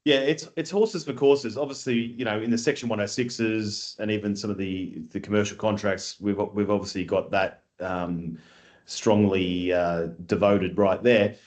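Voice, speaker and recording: Aussie-accented guy being interviewed, lowish voice, rambly, lots of ums and restarts. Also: fairly even intonation, normal speed, a little mellow. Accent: Australian. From Australia. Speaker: guest, industry scheme chief executive. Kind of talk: casual conversational interview.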